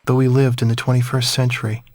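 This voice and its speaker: An actor playing a reflective man who recalls his wartime childhood in measured, somber game-story narration. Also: mid-range voice, completely flat delivery, darkish tone, no echo, clean studio sound, close to an everyday voice. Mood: wistful, somber. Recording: music behind the voice. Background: prominent music.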